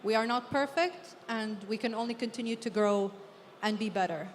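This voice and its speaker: High-voiced Arabic-native woman in a hall. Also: moderate pace. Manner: earnest, thinking aloud. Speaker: author on a book fair panel. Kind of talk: panel discussion. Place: large hall at a book fair. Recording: long hall reverb, faint background hum.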